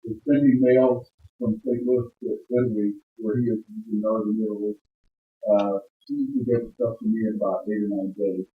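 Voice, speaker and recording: A man's voice: fairly low pitch, flat delivery, fairly quick. A man speaking up casually from the audience at a club meeting, explaining things in an informal way. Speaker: club member commenting from the audience. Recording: phone-like, echoey room pickup.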